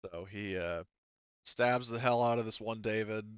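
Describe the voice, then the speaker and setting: A man with a low, smooth voice works through a tabletop game as its game master. He thinks aloud casually and swears freely. The sound is close and dry, slightly dull and lo-fi. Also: moderate pace, dry recording. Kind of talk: narrating a tabletop roleplaying game.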